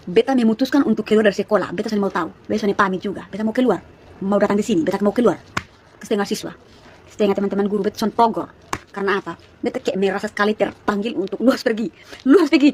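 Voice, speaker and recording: A woman of about thirty from East Nusa Tenggara, speaking with its regional Malay accent, chatting to viewers on a phone livestream and telling her story in rapid, chatty bursts full of asides. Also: mid-range voice. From East Nusa Tenggara, Indonesia. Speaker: live streamer. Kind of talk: casual live-stream testimony to viewers.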